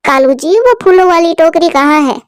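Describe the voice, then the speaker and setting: A woman voicing a kind, motherly female crow neighbor in a cartoon story, speaking in a soothing, gentle way. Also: very high-pitched, normal speed, no room sound, studio quality.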